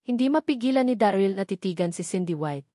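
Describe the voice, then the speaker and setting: A woman narrates a novel in scripted audiobook style, with a medium-pitched voice. The recording is very dry, with no room around the voice. She reads as a storyteller, working from a written text. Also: animated, brisk, mellow, no room sound.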